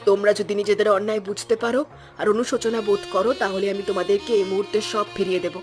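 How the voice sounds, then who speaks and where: A woman's voice: mid-range voice, level tone, racing, natural voice. A woman playing a stern, principled old village woman in a fairy-tale cartoon, speaking in a firm, moralizing way. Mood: earnest, forgiving. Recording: music behind the voice. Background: background music.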